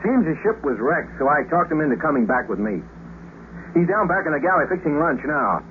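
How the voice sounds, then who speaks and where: Through a tinny, slightly noisy 1950s radio recording comes a brash American astronaut. He is a good-hearted man who talks in wry slang with an American accent and a lively, swinging pitch. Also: brisk. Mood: friendly, businesslike. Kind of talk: 1950s radio drama acting.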